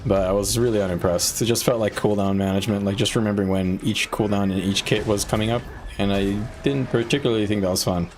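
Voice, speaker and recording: A man's voice: fairly low pitch, steady tone, fast talker, darkish tone, a little hiss or hum. A man who streams games, giving casual live commentary and rambling as he thinks aloud. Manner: rambling, thinking aloud, often clearing throat. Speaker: game streamer.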